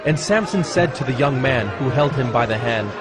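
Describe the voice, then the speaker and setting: A man's voice: medium pitch, expressive, moderate pace, heavy background noise. A man giving scripted narration for a dramatized scripture recording, with music behind him.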